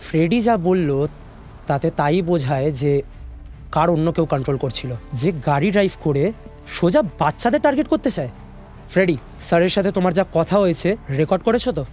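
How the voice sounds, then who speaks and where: A man's voice: on the high side, animated, a little fast, a little mellow, natural voice. An actor playing a serious, decisive male police detective in a cartoon crime drama. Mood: serious, analytical. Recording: music under the voice.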